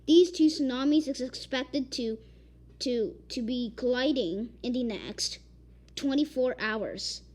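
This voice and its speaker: A woman reading a made-up emergency alert, halting and stumbling over repeated words. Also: sits high, darkish tone. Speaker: amateur emergency alert announcer. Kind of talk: improvised emergency broadcast reading.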